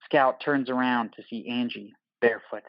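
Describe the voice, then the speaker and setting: A man reading scripted stage directions for an audio drama as narrator, in deliberate, dramatic short phrases. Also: mid-range voice, steady tone, vocal fry, no room sound, muffled recording.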